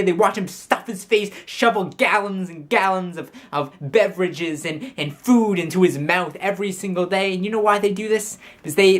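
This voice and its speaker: A woman giving a comedic YouTube commentary, praising mockingly with a straight face and often stumbling over and restarting her words. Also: lowish voice. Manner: mock-serious, ironic praise; frequent stammered restarts.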